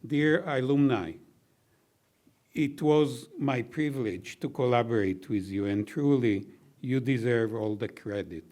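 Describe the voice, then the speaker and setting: An older man with a baritone voice giving heartfelt closing thank-yous at a university reception, measured and gently humorous. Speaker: honoree giving closing remarks. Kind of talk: heartfelt formal thank-you speech.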